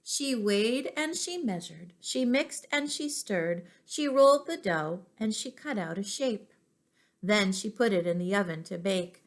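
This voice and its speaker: A woman's voice: medium pitch, animated, a little slow. A woman teacher reading stories aloud to young children, stopping for questions and asides to her listeners.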